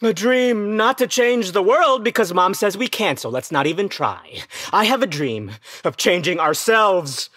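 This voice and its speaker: Rebellious grown son, high and very animated, grandiose and slangy. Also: male voice, measured pace, no room sound, clean studio sound, heavily acted. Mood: grandiose, rallying. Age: young adult. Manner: slangy, declamatory. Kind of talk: audio play acting.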